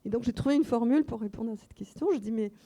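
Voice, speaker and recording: A woman's voice: a little high, quick pace, mellow. A woman whose first language is Russian, speaking as a guest author at a festival, reflective and hesitant with many fillers. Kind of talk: unscripted festival talk. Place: festival meeting room with audience.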